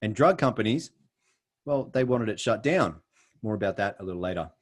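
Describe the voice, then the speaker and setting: A middle-aged Australian man giving an earnest, emphatic sales presentation over a video call, with frequent pauses. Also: fairly low pitch, lively intonation.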